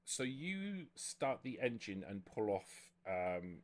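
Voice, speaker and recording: A man with a deep voice runs a tabletop roleplaying session. He explains rules and sketches scenes off the cuff, often pausing and restarting as he works out the wording. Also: big pitch swings. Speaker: game master. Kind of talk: improvised narration and rules talk at a tabletop roleplaying game.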